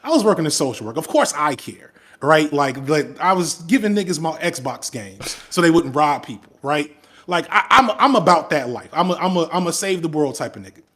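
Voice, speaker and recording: A man in his thirties, a former teacher, talking over a headset on a video call in a reflective, fast, self-interrupting way. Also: fairly high pitch, expressive, a bit bright. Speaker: guest, video essayist. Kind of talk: casual video call chat. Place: room at home indoors.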